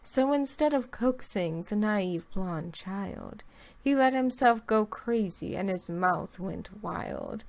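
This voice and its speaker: Woman reading a creepy rhyme real slow, whispers some lines, guest reader. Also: medium pitch, animated, vocal fry, a little mellow.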